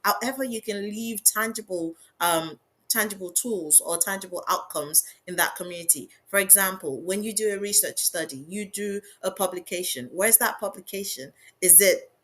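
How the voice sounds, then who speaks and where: A woman's voice: medium pitch, moderate pace, fairly bright tone. A woman panelist speaking with a strong, emphatic voice in a panel discussion.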